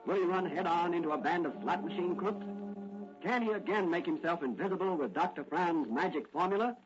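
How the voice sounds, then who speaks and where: Male suspenseful serial narrator, highish voice, urgent, vintage muffled broadcast, music behind. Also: brisk, lightly acted. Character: dramatic, urgent serial announcer. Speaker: announcer and narrator. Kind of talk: 1940s radio narration. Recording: muffled old radio recording. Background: loud dramatic music.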